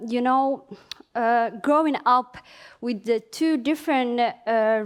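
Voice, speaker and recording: A woman with a fairly high voice speaks at a conference. She was born in Somalia and grew up in Finland. She talks hesitantly, pausing on filler sounds and restarting sentences. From born in Somalia, raised in Finland. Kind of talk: conference presentation. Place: conference venue.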